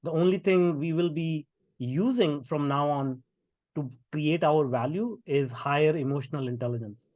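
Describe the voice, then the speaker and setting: A man's voice: fairly high pitch, moderate pace, a little mellow, no echo. Indian man, dry close sound. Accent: Indian English. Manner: conversational, many fillers and restarts. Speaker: guest, tech founder. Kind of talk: podcast interview.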